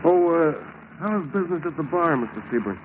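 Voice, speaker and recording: A man's voice: fairly high pitch, animated, a little fast, lo-fi sound, close to an everyday voice. An actor playing an ordinary neighborly American air-raid warden and father in a 1940s radio drama. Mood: neighborly chatting. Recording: noisy old 1940s radio recording.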